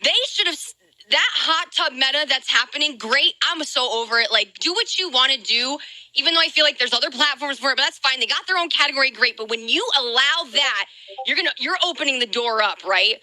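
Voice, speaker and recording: Very bright, high-pitched, exasperated woman. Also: lively intonation, a little fast, no echo, rough recording. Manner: exasperated, emphatic, swearing. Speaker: live streamer. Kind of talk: casual ranting to stream viewers.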